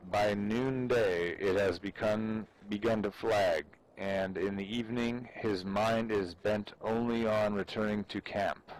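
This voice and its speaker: A man giving a solemn, deliberate dramatic reading of a military treatise, with pauses between phrases. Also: lowish voice, steady tone, low-quality recording. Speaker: amateur reader performing for fellow gamers.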